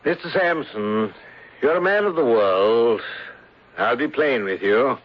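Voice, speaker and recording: An actor playing a plausible gentleman villain in an old-time radio drama, formal, courteous and aggrieved. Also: medium pitch, animated, takes it slowly. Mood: coldly defiant. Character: smooth, plausible gentleman villain, falsely sorrowful. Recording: muffled old radio broadcast.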